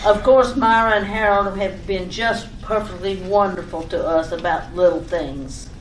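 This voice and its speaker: A woman's voice: mid-range voice, normal speed, close to an everyday voice. A woman playing a fussy, scatterbrained wife in an old-time radio comedy sketch, giving repetitive, over-detailed instructions. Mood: fussy, bustling. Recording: echoey room, distant mic, faint noise.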